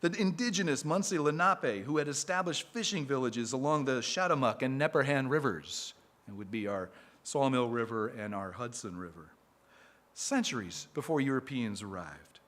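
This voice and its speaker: A man reading a prepared talk to a live audience as a speaker for a historic site, measured and steady. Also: mid-range voice.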